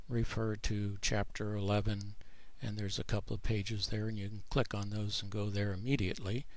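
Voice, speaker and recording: A man narrating a screen tutorial as the author, explaining plainly in a measured way. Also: lowish voice, no echo. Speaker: author presenting his own book.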